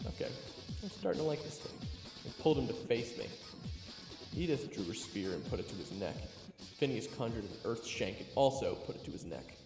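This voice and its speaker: Sardonic male adventurer-narrator, man acting: gravelly, lively, casual storytelling, long reverb and clear music behind. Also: mid-range voice, brisk. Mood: wry, suspenseful. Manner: wry, casual. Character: sardonic, casual male adventurer telling his own tale. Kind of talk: first-person dramatic narration and acting.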